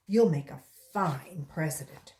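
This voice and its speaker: A woman's voice: low voice, measured pace, darkish tone. A woman voicing a firm, earnest female schoolteacher in a storybook, speaking emphatically and instructively. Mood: approving.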